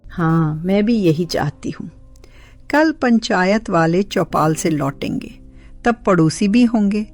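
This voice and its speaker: Casting: woman as older village mother-in-law, lowish voice, very unhurried, sarcastic and demanding, cartoon drama with music underneath. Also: animated, darkish tone. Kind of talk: Hindi cartoon moral story acting.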